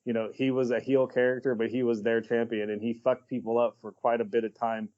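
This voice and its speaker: A man co-hosting a podcast, giving his take in a relaxed, blunt way with casual swearing. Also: mid-range voice, a little fast, highs cut off. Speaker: podcast co-host.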